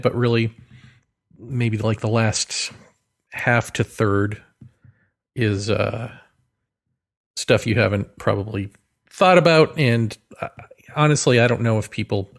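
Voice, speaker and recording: A man's voice: on the low side, gravelly. A man hosting a solo podcast in a casual, rambling way, hesitant as he thinks aloud, with a wry, self-deprecating manner. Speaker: podcast host and author.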